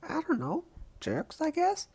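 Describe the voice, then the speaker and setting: A woman's voice: high-pitched, sing-song, a little slow, a little mellow, heavily acted. A woman voicing an upbeat young superhero college student in a comic dub, perky, chatty and emphatic. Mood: perky, determined. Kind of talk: comic book dub voice acting.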